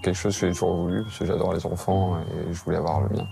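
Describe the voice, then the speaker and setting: Casting: thirties man, soon-to-be dad, low gravelly voice, relaxed and a bit hesitant. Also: fast, soft background music. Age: 30s. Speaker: expectant father. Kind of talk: casual interview chat.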